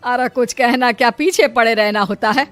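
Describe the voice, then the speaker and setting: Woman doing a sharp-tongued old mom who keeps nagging, cartoon, music behind. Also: fairly high pitch, normal speed, lightly acted. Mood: scolding. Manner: taunting, scolding. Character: nagging older mother, sharp-tongued and worried. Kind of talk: cartoon voice acting.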